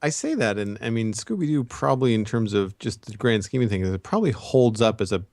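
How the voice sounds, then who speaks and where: A man's voice: on the low side, animated, a little fast, darkish tone, dry recording, clean studio sound. A man co-hosting a podcast, talking casually and giving his opinions with emphasis. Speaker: podcast co-host. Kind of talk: casual chat.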